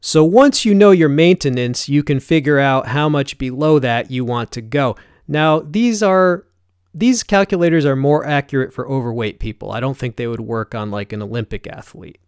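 A man's voice: mid-range voice, expressive, moderate pace, clean tone, dry recording, clean studio sound. A man in his forties talking casually to camera from his home gym, blunt and conversational, often tagging his points with "right?". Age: 40s. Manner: blunt, conversational, frequent "right?" check-ins. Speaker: fitness YouTuber.

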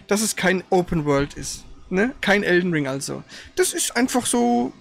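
A man with a high-pitched voice hosts a live gaming stream. He comments casually as he plays. His speech rambles, with frequent stutters and self-corrections. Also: sing-song, moderate pace, gravelly. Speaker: host of a gaming livestream.

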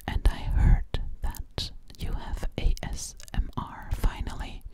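Young woman whispering asmr as a gentle alien girl, super slow n breathy, repeats stuff, lips right on the mic. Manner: slow, soothing, repeats words and phrases over and over. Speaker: ASMR creator. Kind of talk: ASMR personal attention roleplay as an alien girl. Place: small dark indoor space, very close up. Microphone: large microphone right at the lips.

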